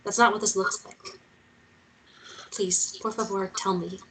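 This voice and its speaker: A woman's voice: medium pitch, fairly even intonation, a little slow, bright, forceful tone, slight background noise, low-quality recording. A woman playing a determined, stubborn journalist in a drama read-through, earnest and persistent in her way of talking. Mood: probing, then pleading. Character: determined female journalist, pleading yet stubborn.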